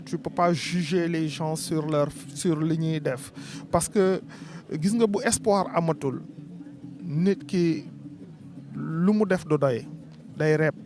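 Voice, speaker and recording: A man with a Senegalese French accent, interviewed at an outdoor event, speaking in an earnest, measured, rhetorical way. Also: a little high. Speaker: artist interviewee. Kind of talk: street interview, spoken-word declamation. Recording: crowd noise behind.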